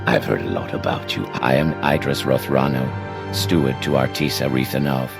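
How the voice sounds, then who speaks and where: A man voicing a gruff, formal steward of a noble house in a fantasy game, gravelly and terse. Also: very deep voice, normal speed. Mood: formal, reserved. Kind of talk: scripted video game dialogue. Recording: game music behind the voice.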